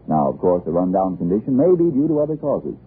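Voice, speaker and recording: Animated, husky man, old radio announcer. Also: low-pitched, fast talker. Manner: emphatic, polished salesmanship. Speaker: announcer and sponsor pitchman. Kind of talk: 1940s radio commercial. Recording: muffled, band-limited old radio broadcast.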